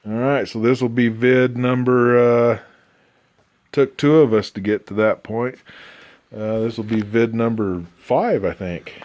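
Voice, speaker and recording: A man's voice: mid-range voice, steady tone, unhurried, darkish tone. A middle-aged man giving a casual, plain-spoken hands-on narration of a repair, thinking aloud informally. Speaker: amateur radio restorer demonstrating a repair. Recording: music playing from a radio behind. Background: music from a vintage radio being tested.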